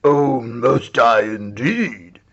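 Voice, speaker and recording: A man's voice: mid-range voice, animated, extremely slow, fairly bright tone, dull audio, exaggerated voice. A man voicing an indignant, haughty brown bear in a storybook read-aloud, speaking emphatically. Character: indignant, happily married male brown bear.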